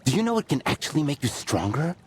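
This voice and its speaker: An actor playing a chatty, distractible, cheerful helper in a video game, breezy and expressive. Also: male voice, fairly high pitch, fast, background noise. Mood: chatty, distracted. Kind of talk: video game character acting.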